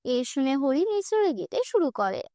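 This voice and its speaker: A woman's voice: high-pitched, sing-song, moderate pace, mellow, no echo, studio quality. A woman narrating a cartoon fairy tale in a scripted storytelling manner.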